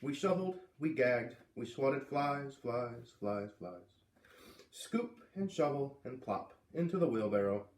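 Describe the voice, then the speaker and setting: A man reading a children's book aloud, expressively, with dramatic pauses on key words. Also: medium pitch, animated, background noise. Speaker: read-aloud reader. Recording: echoey room, distant microphone.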